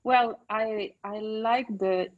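A woman's voice: on the high side, fairly even intonation, takes it slowly, no echo. Cheerful woman, lo-fi line. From France. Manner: cheerful, chatty, laughs easily. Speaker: panel guest. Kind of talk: casual chat. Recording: dull lo-fi dry line.

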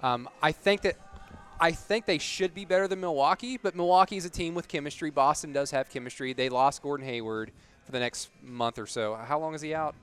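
A man giving measured, analytical commentary in a casual sports discussion as a podcast panelist. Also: on the high side, fairly bright tone, smooth, faint music behind.

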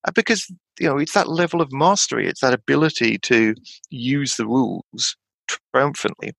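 Gravelly man in his fifties, rambling chat. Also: normal speed, no echo. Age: 50s. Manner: rambling with many fillers and restarts, animated jumps in pitch. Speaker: podcast guest. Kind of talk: casual chat about tabletop games.

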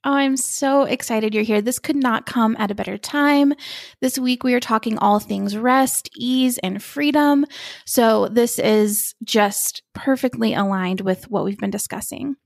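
A woman's voice: a little high, a little slow, gravelly, dry recording, studio quality. A woman hosting a podcast, chatting and encouraging her guest in a casual interview. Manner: warm, encouraging, affirming listener. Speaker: podcast host.

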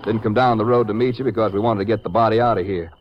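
An actor playing a plainspoken Texas Ranger with folksy cowboy phrasing in a 1950s western radio drama. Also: male voice, fairly low pitch, fairly even intonation, racing, lightly acted. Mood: businesslike. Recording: muffled vintage radio recording, faint noise.

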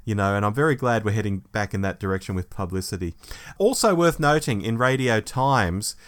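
A man's voice: on the low side, animated, brisk. A man co-hosting a podcast, chatting informally and wryly about fan news. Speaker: podcast co-host. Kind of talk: casual discussion of Doctor Who fandom news.

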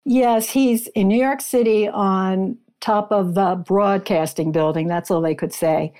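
A woman's voice: medium pitch, fairly even intonation, a little slow, dark tone. A woman speaking as an interviewed author, explaining and retelling in a conversational style.

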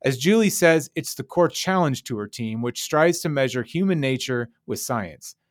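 A man's voice: mid-range voice, expressive, moderate pace, no room sound. Man, announcer, scripted intro read, polished, promotional, dry studio sound.

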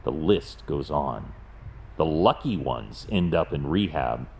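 Man playing brooding wealthy crime-fighter, very low voice, varied intonation, grim, resolute, deliberate, audio drama. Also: animated, creaky, a little hiss or hum, full character voice. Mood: grim, factual.

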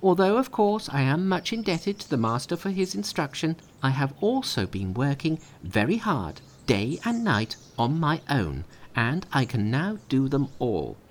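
A man voicing an eager, clever male monkey disciple in a storybook reading, earnest and excitable. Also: measured pace, hardly any pauses, slight background noise. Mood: proud. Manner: earnest, excitable, high and bright.

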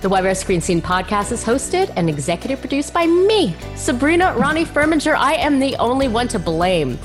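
A woman hosting an interview podcast in an effusive, expressive way. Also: fairly high pitch, brisk, faint music behind. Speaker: podcast host. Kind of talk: warm interview chat and show sign-off.

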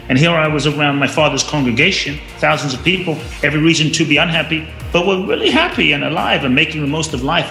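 A man who grew up in London, talking as an interview guest, telling his story with emphasis and sudden high peaks. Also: pushed and bright. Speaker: guest speaker. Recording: roomy echo.

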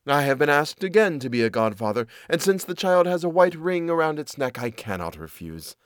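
A man's voice: on the high side, big pitch swings, fairly quick, clear, resonant tone, dry recording. A man voicing a greedy, sly male cat in a fairy tale, with a persuasive, cool and self-assured manner. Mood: coaxing.

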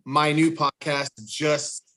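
A man's voice: a little high, flat delivery, takes it slowly, bright, forceful tone. A man bantering on a podcast in a casual, playful and blunt way.

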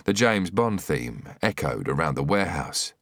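Deep male thriller audiobook narrator. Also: big pitch swings, normal speed, a bit bright, no room sound, studio quality. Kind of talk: scripted dramatic thriller narration.

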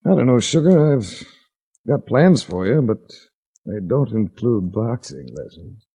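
A man's voice: medium pitch, lively intonation, unhurried, gravelly, dark tone, husky, full character voice. An actor playing a tough, wry investigator hero in 1940s radio drama, dry and clipped as he narrates and talks. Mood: calm command. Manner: dry, clipped, hard-boiled. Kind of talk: 1940s radio detective drama, hard-boiled narration and dialogue.

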